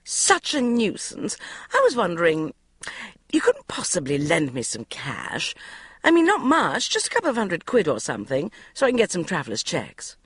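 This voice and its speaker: Woman reader doing a gushing, hysterical mum; high, swooping, very dry close read. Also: wildly swooping pitch, normal speed, slightly rough, no room sound, exaggerated voice. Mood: wheedling. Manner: breathless gushing, wheedling. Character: middle-aged British mother, flighty, gushing.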